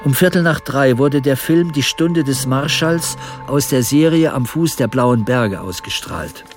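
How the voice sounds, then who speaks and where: A male actor plays an elderly, obsessive hobby historian in a radio drama. He reads a letter with formal, circumstantial precision and a medium-pitched voice. Soft music sits underneath. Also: measured pace, lightly acted. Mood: precise.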